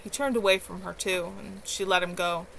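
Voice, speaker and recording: A woman's voice: fairly low pitch, fairly even intonation, moderate pace, a bit bright, poor audio. A woman narrating a novel aloud as its author, with an earnest, amateur storyteller delivery. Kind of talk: expressive audiobook-style narration. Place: at home, livestream. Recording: clear background noise, home livestream.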